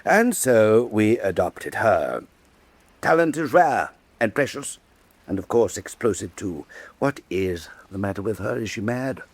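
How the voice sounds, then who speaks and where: A man playing a suave, cynical Venetian official who teases and commands a painter, with a husky voice. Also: lowish voice, big pitch swings, unhurried, no echo. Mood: silky menace. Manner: urbane, teasing, imperious. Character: suave cynical older male art patron, Venetian official. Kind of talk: radio drama acting.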